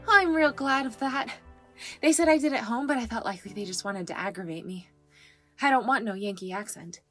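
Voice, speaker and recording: A woman's voice: on the high side, a little fast, fairly bright tone, faint music behind, lightly acted. A woman playing an eager, anxious young country servant girl, folksy, chatty and deferential, in a dramatized story. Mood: relieved, chatty. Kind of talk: dramatized audiobook character acting.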